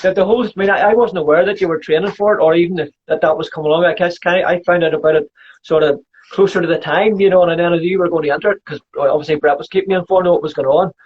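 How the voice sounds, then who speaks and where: A Scottish man in his fifties hosting a casual chat, keen and quick to agree. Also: high voice, steady tone, slight background noise. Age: 50s. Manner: enthusiastic, quick to agree and laugh. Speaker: podcast host. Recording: muffled lo-fi audio.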